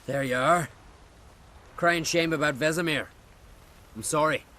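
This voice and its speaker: An actor voicing a hearty, loyal, plain-spoken island warrior in a fantasy video game. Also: male voice, a little high, unhurried, bright, forceful tone, slight background noise. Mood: staunch, sympathetic. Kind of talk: dramatic video game voice acting.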